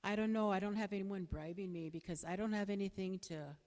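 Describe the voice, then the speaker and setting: A middle-aged woman with a soft voice giving a hesitant, plain-spoken, unscripted answer as a candidate at a public forum. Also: mid-range voice, steady tone, a little fast. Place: bingo hall, public forum over a PA. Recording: echoey hall with long reverb.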